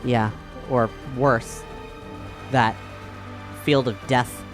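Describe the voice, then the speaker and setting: Guy in a tabletop game kinda thinking out loud, hesitant, bit of music behind him. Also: mid-range voice, fairly even intonation, very slow. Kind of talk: casual in-game discussion. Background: faint music.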